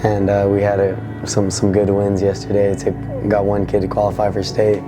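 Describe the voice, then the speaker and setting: Hoarse young man, low flat voice. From California. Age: 20s. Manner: halting, searching for words. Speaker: boxer. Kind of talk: interview. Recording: with background music.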